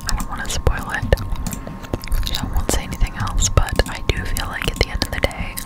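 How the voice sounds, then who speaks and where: A young woman whispering casual gameplay chat in an ASMR style, slow and halting, close to a desktop microphone at home. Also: rough recording. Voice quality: breathy unvoiced whisper. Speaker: gaming ASMR streamer. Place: indoors in a room at home. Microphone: close-up desktop condenser microphone.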